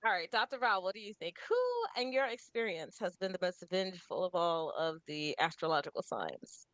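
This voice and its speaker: A woman's voice: lowish voice, very animated, moderate pace, a bit bright, dry recording, low-quality recording. A woman co-hosting a casual group chat show in a teasing, mock-serious manner. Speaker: radio show co-host.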